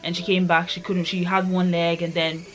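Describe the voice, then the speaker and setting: A woman's voice: lowish voice, level tone, normal speed, a bit bright. A young woman reviewing a book in a chatty, rambling way, full of "like" and asides. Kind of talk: casual book review vlog.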